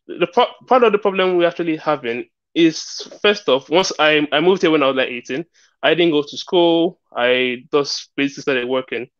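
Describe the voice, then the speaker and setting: A man's voice: on the high side, normal speed, no room sound. A young man with a Nigerian accent, calling in to a livestream and explaining things in a casual, chatty way. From southern Nigeria. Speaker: caller on a livestream. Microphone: headset boom mic. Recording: slightly muffled video-call headset audio.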